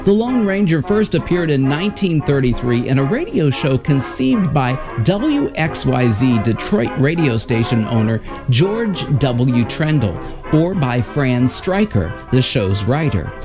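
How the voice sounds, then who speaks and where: A man's voice: medium pitch, very animated, unhurried. An American man in his fifties hosting with a scripted, emphatic announcer delivery at a broadcast microphone. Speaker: host. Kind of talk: scripted presentation. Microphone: large broadcast microphone on stand, close. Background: music.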